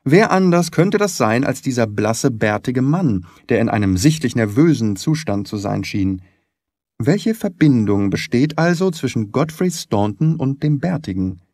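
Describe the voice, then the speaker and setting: Guy doing a sharp-witted detective for an audiobook, probing, precise, sure of himself, very lively intonation. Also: mid-range voice, measured pace, dry recording, studio quality. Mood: analytical, self-assured. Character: shrewd, self-assured male detective, probing and courteous. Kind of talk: audiobook character dialogue.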